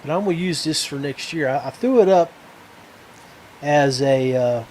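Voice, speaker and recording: American guy rambling on his podcast, kinda high voice, stops and starts hunting for words, bit of noise behind. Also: a little mellow. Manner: halting, searching for words. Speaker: podcast host. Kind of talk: casual, rambling solo podcast talk.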